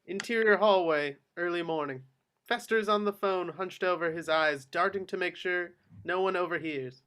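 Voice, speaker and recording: A man's voice: high voice, moderate pace, sharp tone, smooth. A man narrating action descriptions from a script at a table read. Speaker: stage-direction narrator.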